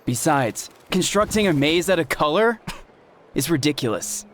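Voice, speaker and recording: A man's voice: on the high side, animated, unhurried, slight background noise. Condescending male skeptic. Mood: scornful, challenging. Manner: condescending, sarcastic. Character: dismissive male skeptic, scornful of old legends. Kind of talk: video game voice acting.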